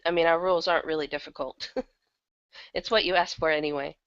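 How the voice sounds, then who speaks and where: A woman's voice: deep voice, steady tone, fast talker, clean tone, dry recording, muffled recording. A woman talking casually as a guest in an interview about her work as a producer. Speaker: guest, audio drama producer.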